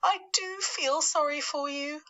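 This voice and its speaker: A storybook lady spider, acted out by a woman reader, speaking well above a normal pitch in a bright voice. She is doleful and theatrical, gushing through her woes and correcting herself. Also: a little slow. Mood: tender pity. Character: female spider, dramatic, doleful, prone to lamenting.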